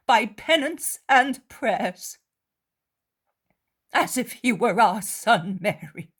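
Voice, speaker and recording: A woman's voice: sits high, husky, pitched above the performer's own voice, exaggerated voice. A woman voicing a tender pleading mother. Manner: earnest and pleading.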